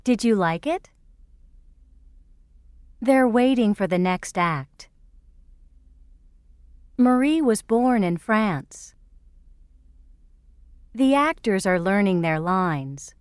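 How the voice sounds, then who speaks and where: A woman reading example sentences and a reading passage for textbook audio, slowly and carefully. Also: mid-range voice, expressive, no room sound, studio quality. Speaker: textbook reader. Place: recording studio.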